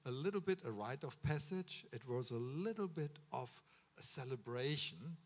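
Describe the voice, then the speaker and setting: German guy giving a ceremony speech, earnest advice, middle pitch, lots of uh and restarts. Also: animated, moderate pace. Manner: earnest, advising, with frequent uh fillers and restarts. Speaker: medical school official addressing students. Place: ceremony hall.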